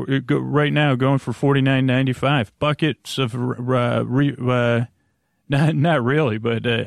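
A man hosting a bedtime podcast, rambling gently and haltingly to the listener, stammering and drifting into tangents in a friendly way. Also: medium pitch, creaky, no echo, studio quality. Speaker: podcast host. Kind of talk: rambling, meandering bedtime monologue addressed to the listener.

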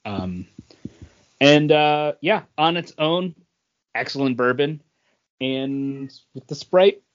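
A man co-hosting a podcast, chatting casually with friends in a halting way. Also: a little high, lively intonation. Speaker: podcast co-host. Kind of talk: casual chat among friends. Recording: dull lo-fi voice recording.

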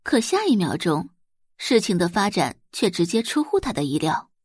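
A female narrator delivers dramatic scripted fiction with very lively intonation. Her voice is slightly husky and of medium pitch, recorded dry and close. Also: moderate pace, no echo.

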